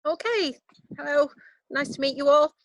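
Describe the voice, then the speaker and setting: A woman's voice: high voice, normal speed, no room sound, rough recording. A woman with a Yorkshire accent giving a casual composting workshop outdoors over a video call, chatty and self-deprecating with lots of ums. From Yorkshire, England. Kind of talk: casual online workshop talk. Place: outdoors in a garden.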